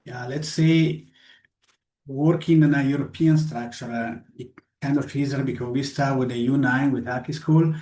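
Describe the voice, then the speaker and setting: A man, a goalie coach answering interview questions haltingly with many fillers. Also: mid-range voice, steady tone, warm tone. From Switzerland. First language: Italian. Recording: roomy echo.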